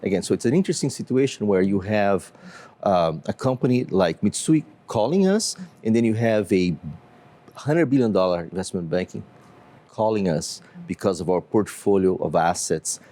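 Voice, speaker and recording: Brazilian-accented man, low mellow voice. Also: lively intonation. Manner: measured, explanatory, many fillers. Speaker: company CEO. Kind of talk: business interview.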